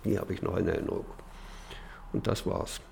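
Older man, creaky and halting. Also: sits low, steady tone, very noisy. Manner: halting, searching for words. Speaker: eyewitness recalling childhood. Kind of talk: unscripted interview recollection.

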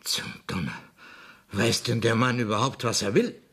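Husky, imperious royal ruler. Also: male voice, mid-range voice, normal speed, fairly bright tone. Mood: angry, decisive. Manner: pompous, brusque, indignant. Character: imperious Bavarian royal ruler, stern and irritable. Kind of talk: 1970s German radio play acting.

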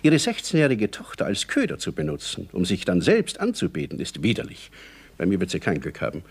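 A man's voice: deep voice, wildly swooping pitch, fairly quick, creaky, husky, exaggerated voice. A man acting in a radio comedy as a grumpy, dry-witted young actor with wry, terse replies. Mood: disdainful.

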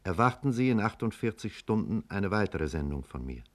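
A man playing the narrator of a 1950s German radio drama, with measured, literary delivery. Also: lowish voice, big pitch swings. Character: male narrator recounting events as a scientist.

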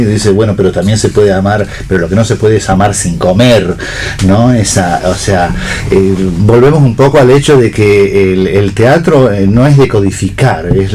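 Low-voiced, hesitant man. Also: mellow, slight background noise. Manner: hesitant, drawn-out pauses and filler words. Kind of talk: unscripted panel discussion.

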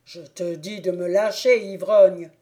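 A woman's voice: medium pitch, slow pace, dark tone, close to an everyday voice. A woman voicing a huge male stranger who is scornful and commanding.

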